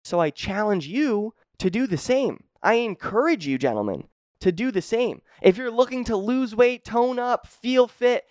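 Energetic young man coaching, dry sound. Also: sits high, a little slow, no echo. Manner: energetic, emphatic, repeats key phrases. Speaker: podcast host and fitness coach. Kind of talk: motivational solo podcast talk.

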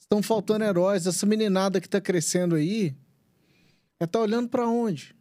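A male interviewer with a high voice asks long, earnest questions. He circles around his point with repetitions and restarts. The sound is dry and close. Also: fairly quick, warm tone, no echo. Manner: earnest, rambling, repeats himself.

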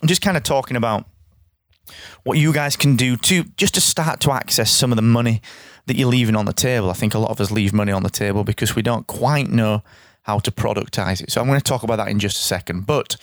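A podcast host with a croaky, worn voice, talking fast and straight to his listeners. He repeats words when he gets going. The recording is close and dry, with no room sound. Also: mid-range voice, no echo. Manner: energetic, chatty, repeats words. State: hoarse, croaky.

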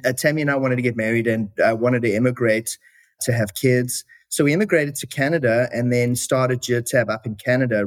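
A man's voice: mid-range voice, no room sound. A man with a South African accent, a company chief answering interview questions in a reflective way, with lots of "you know" and "um". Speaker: company chief executive, guest.

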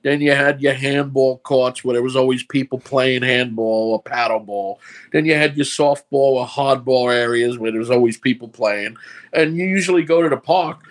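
A man with a New York accent, chatting on a podcast. He lays out his filmmaking process in a rambling, step-by-step way, with a medium-pitched voice. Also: normal speed. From New York City. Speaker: filmmaker guest. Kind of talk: casual podcast chat.

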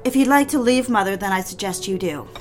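A woman's voice: mid-range voice, quick pace, darkish tone, faint music behind, natural voice. A woman playing a needy, defiant, newly married daughter in an audio drama, theatrical and pleading. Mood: brittle, defiant. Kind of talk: dramatic audio fiction with first-person narration.

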